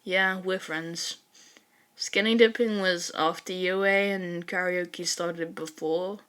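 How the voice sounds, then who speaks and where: Woman acting teenage boy, lowered voice, terse, sardonic, unbothered, story character. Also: slow pace, clear, resonant tone. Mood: dry, unbothered. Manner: laid-back, terse, deadpan.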